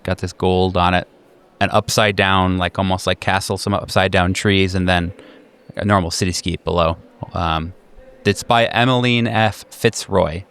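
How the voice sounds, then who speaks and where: Very low, smooth male game master. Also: fairly bright tone. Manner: conversational, halting phrasing with repeated words. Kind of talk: improvised tabletop game narration.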